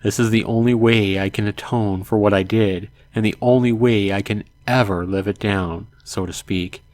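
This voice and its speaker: A man hosting a let's play, reading a visual novel aloud in a dramatic way and adding hushed asides. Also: lowish voice, fairly even intonation, normal speed, no room sound. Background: game music.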